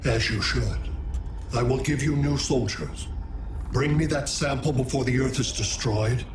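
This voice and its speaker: A man's voice: medium pitch, measured pace, natural voice. An actor playing a cold, commanding male alien conqueror in a video game cutscene. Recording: echoey, distant, noisy.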